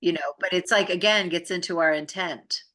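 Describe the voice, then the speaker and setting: A woman's voice: lowish voice, moderate pace, fairly bright tone. A middle-aged woman co-hosting a casual spiritual chat, explaining things earnestly. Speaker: co-host and healing arts practitioner.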